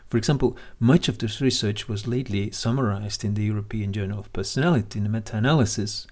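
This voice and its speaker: A man hosting a podcast, speaking in a measured way and setting up questions for his guests. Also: lowish voice, a little mellow. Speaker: podcast host.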